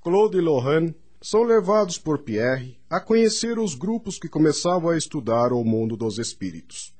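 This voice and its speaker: A man reads scripted narration for a radio drama. His voice is a little high for a man and somewhat husky. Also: sing-song, moderate pace, darkish tone.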